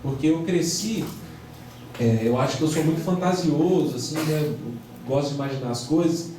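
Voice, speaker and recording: A man's voice: on the high side, normal speed, dark tone, slight background noise. A man from Argentina giving an informal sermon to a youth conference, chatty, often tagging his sentences with a questioning "right?". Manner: conversational, tags phrases with "né?". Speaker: preacher. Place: church hall. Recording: very echoey hall, far from the mic.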